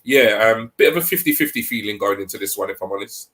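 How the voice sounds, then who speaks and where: A man with a stuffy head cold talking casually about football on a podcast, hesitant with many pauses. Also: on the low side, a bit bright. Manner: hesitant, many ums, thinking aloud. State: congested with a cold. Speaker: podcast co-host.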